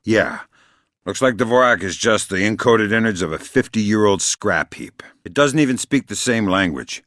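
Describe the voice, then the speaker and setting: A man playing a middle-aged, dry-witted, gruff covert operative in a video game, deadpan, sardonic and quietly menacing. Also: fairly low pitch, moderate pace, no room sound. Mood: focused, terse. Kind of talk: video game voice acting, isolated dialogue lines.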